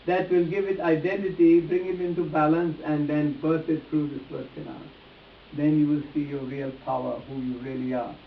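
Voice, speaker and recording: A man's voice: a little high, gravelly. A man giving a live demonstration as an instructor, speaking emphatically and repeating phrases as he addresses volunteers directly. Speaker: face reading instructor. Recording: echoey distant room, muffled, hissy.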